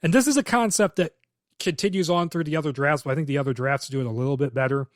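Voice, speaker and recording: A man co-hosting a casual podcast, walking through a script's plot in detail and offering frank, opinionated verdicts. His voice sits a little high for a man. The recording is close and dry, with no room sound. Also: fast, dry recording. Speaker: podcast co-host.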